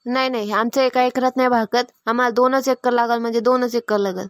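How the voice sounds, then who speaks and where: A quarrelsome village woman of cartoon comedy, acted by a woman, high-pitched and quick. She speaks rural Varhadi Marathi with a blunt, exasperated, complaining manner, recorded close and dry. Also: fairly even intonation, dry recording, natural voice. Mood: firm. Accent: rural Marathi, Varhadi dialect. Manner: scolding, complaining. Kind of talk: animated family comedy dialogue.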